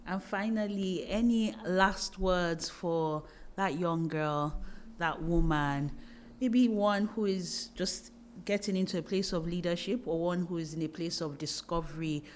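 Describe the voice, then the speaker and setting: A woman's voice: fairly low pitch, measured pace, a little hiss or hum. A woman hosting an interview in a conversational way.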